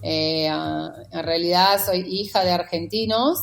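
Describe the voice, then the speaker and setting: A middle-aged woman from Rio de Janeiro with a Buenos Aires accent, telling personal stories as an animated podcast guest. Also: fairly low pitch, unhurried, vocal fry, faint music behind. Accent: Buenos Aires porteño Spanish. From Rio de Janeiro, Brazil. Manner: animated storyteller, acts out quoted conversations. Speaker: podcast guest, wedding photographer. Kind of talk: casual interview storytelling.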